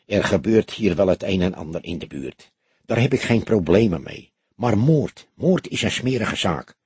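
A man's voice: low voice, very animated, moderate pace, darkish tone, breathy edge, dry recording, full character voice. A man voicing a small, streetwise bar owner who confides like an obliging informant in an audiobook. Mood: confiding. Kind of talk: audiobook character dialogue.

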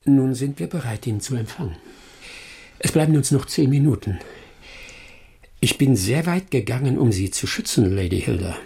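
An actor playing a shrewd, composed gentleman detective in a radio drama, speaking formally and with insistent authority. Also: mid-range voice, lively intonation, normal speed, vocal fry, long pauses, husky, full character voice. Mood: composed, demanding. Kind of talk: German radio drama acting.

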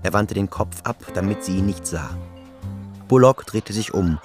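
A man narrating a literary radio drama in a measured, descriptive manner. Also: lowish voice. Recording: prominent music behind narration.

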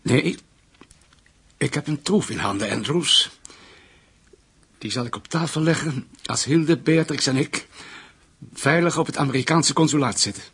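An actor playing an anxious, cornered German ex-SS husband who bargains, in a hoarse, gravelly voice, in a radio drama. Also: male voice, a little high, measured pace, long pauses, fairly bright tone, heavily acted. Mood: tense, bargaining. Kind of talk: Dutch radio drama acting.

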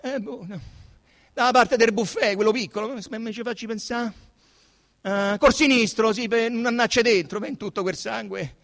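A man's voice: sits high, fairly even intonation, moderate pace, gravelly, a bit bright, voice pushed up. An actor voicing an anxious young man with a Roman accent, stammering and imploring as he justifies himself as a suspect in a radio drama. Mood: flustered. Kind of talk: dramatised radio literary reading.

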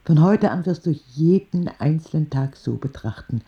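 A man playing a kindly old woman visiting a hospital in an audio drama, speaking in a gentle, measured, reassuring way. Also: on the high side, expressive, muffled recording, pitched above the performer's own voice. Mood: patient, storytelling.

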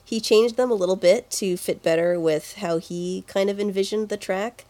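A woman's voice: mid-range voice, normal speed, dark tone. A woman co-hosting a podcast, chatting casually and informally about video game music. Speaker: podcast co-host.

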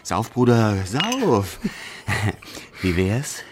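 An actor playing a boastful, scheming student in a German radio play, mocking and grandiose, with theatrical declamation. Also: male voice, lowish voice, wildly swooping pitch, extremely slow, slight background noise, full character voice. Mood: gleeful, conspiratorial. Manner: theatrical, declamatory, swinging from conspiratorial to shouting.

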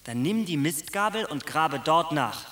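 An actor playing a clever, honest dream interpreter in a radio play who speaks briskly and with indignation. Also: male voice, fairly high pitch, animated, bright, forceful tone. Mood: scheming. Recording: roomy echo.

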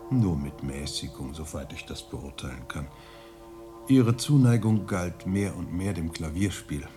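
A serene monastery elder in a radio drama. The voice is extremely deep, measured and discreetly courteous, over soft music. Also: male voice, lively intonation.

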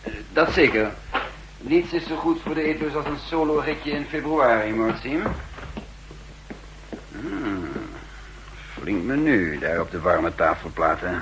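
An actor playing an undercover spymaster in a 1960s radio drama, guarded and wry beneath a genial surface. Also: male voice, mid-range voice, lively intonation, unhurried, long pauses, close to an everyday voice. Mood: affable, feigned ease. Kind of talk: 1960s Dutch radio drama acting and first-person narration. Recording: 1960s radio recording, faint hum and noise.

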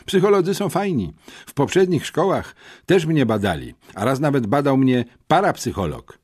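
A man's voice: mid-range voice, big pitch swings, moderate pace, darkish tone, husky, pitched above the performer's own voice. A man voicing an earnest, naive schoolboy in an audiobook, eager to explain himself and easily flustered. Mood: eager, puzzled. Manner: earnest, mumbling when cornered. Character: eager, naive schoolboy with big ideas, flustered when scolded.

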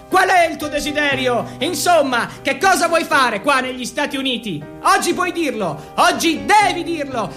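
A man's voice: extremely high pitch, bright, forceful tone, pitched above the performer's own voice, exaggerated voice. Man acting a fervent, incantatory preacher over music. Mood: urgent, commanding. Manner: incantatory, repeating refrains. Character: fervent male funeral preacher, solemn, goading and insistent. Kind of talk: theatrical sermon monologue.

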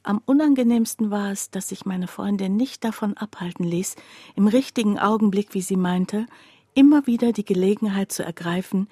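A woman's voice: mid-range voice, moderate pace, warm tone, slightly rough, no echo, natural voice. A woman narrating a literary radio drama monologue, confiding and precise, as someone wryly recounting a poor friend's troubles.

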